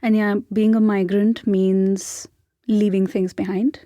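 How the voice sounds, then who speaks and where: A woman's voice: medium pitch, flat delivery, slow, mellow, dry recording. A young adult woman from India, speaking with an Indian accent, answers interview questions in a thoughtful, searching way and often restarts her sentences. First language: Hindi. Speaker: podcast guest. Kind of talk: reflective conversational interview.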